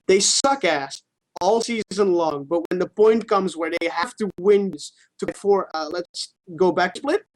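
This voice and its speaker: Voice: medium pitch, a little slow, rough recording. A co-host of a gaming stream chatting casually about esports in an informal way, swearing freely.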